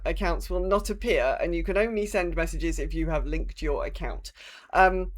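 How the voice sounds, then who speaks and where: A woman co-hosting a gaming podcast in casual chat, talking in an informal, rambling way with some mild swearing. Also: lowish voice. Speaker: podcast co-host.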